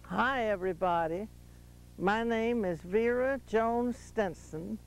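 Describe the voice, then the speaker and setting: A woman, recalling her ancestors in a halting, thoughtful way. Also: fairly high pitch, slight background noise. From Western North Carolina. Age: 90s. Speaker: interviewee.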